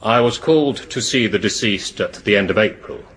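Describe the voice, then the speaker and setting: Physician: low, rough-edged voice, measured and courteous, period radio drama. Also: male voice, a bit bright, rough recording. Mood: measured, professional. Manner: measured, professional. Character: measured, courteous male physician, mildly amused.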